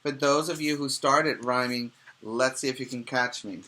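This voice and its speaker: A man's voice: medium pitch, normal speed, bright, forceful tone. A man who is a preschool teacher, reading a storybook aloud expressively to young children and explaining it with questions and emphasized key words.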